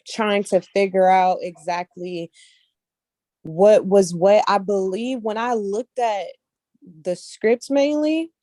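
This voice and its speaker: A woman's voice: mid-range voice, slow pace, warm tone, no room sound. A woman speaking casually as an interview guest, repeating points for emphasis and often checking that the listener follows. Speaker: interview guest, casting director and actress.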